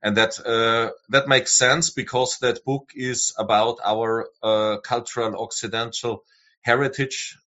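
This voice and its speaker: A man's voice: mid-range voice, steady tone, a little slow, pushed and bright. A German man with a German accent, speaking English as a second language, hesitant and full of filler sounds, as a guest author. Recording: dull lo-fi remote audio.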